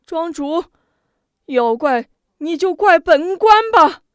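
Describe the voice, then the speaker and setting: A woman voicing a two-faced male county magistrate who wails loudly and speaks in a pompous official manner. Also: mid-range voice, expressive, slow, no room sound, clean studio sound. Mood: wailing. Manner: loud, weepy, pompous official wailing.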